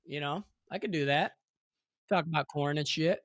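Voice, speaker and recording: A man's voice: a little high, normal speed, no echo, studio quality. A young man co-hosting a podcast, chatting casually and slipping into mock voices for jokes. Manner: animated, jokey, breaks into mock voices. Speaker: podcast co-host. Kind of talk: casual chat.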